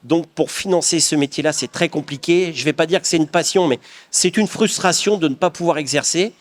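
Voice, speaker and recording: Man from the Paris region, middle-aged, fairly high voice, earnest, reflective, restarts often. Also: a little fast. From Paris region of France. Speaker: craftsman guest. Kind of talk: interview answers.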